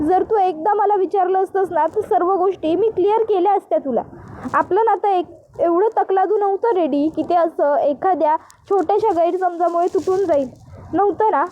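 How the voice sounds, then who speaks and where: A woman storyteller gives voice to a lovesick young woman who begs her lost love for answers. The voice sits very high and keeps circling back to his name. It is the voice of a heartbroken girl in a spoken melodrama. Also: a little mellow, highs cut off, a little hiss or hum. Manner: pleading, repeating his name over and over.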